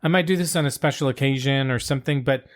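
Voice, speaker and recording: Relaxed woman host, voice going hoarse. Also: a bass voice, fast. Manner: relaxed, thinks aloud, reads reviews out. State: losing her voice. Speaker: podcast host. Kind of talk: casual wine-tasting chat.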